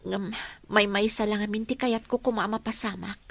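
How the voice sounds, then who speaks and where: A woman's voice: mid-range voice, fairly even intonation, normal speed, creaky, a bit bright. A woman with an Ilocano accent playing an earnest, troubled young village woman in a radio drama. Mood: wistful, troubled. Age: young adult. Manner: earnest, plaintive.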